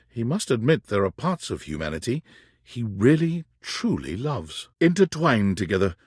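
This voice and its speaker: A man narrating a quirky dating game in a measured, expressive storybook style. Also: fairly low pitch, slightly rough, dry recording, clean studio sound, full character voice.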